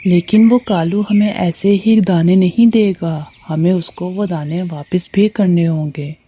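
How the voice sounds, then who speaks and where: A woman's voice: on the low side, fairly even intonation, measured pace, warm tone, slightly rough. A woman playing a helpful, cautious male bird in a moral story cartoon, speaking earnestly. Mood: concerned, helpful.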